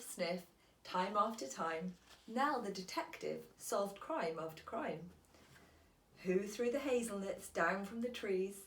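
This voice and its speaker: A woman reading a children's storybook aloud as a teacher, in an expressive, deliberate storytelling manner. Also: mid-range voice, reverberant.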